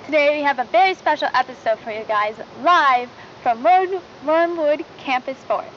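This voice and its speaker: A young woman playing a perky, devoted high school news co-host in a 1990s horror broadcast, with bubbly, gushing delivery. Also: moderate pace, fairly bright tone. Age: young adult. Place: outdoors in a forest at night. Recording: dull lo-fi VHS-style audio, faint noise.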